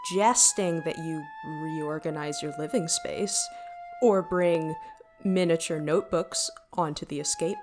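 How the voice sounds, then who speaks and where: Woman voicing a calm, slow-speaking starship AI. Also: on the low side. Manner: measured, formal, deliberate phrasing. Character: calm, earnest female starship AI caring for passengers. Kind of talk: audio drama acting.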